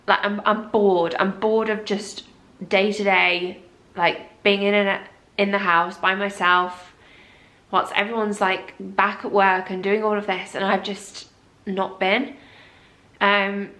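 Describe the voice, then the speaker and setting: A woman's voice: mid-range voice, little pitch movement, slow, fairly bright tone. A young woman vlogging in a casual, rambling and apologetic way while congested with a cold. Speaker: lifestyle vlogger. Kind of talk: casual chat to camera.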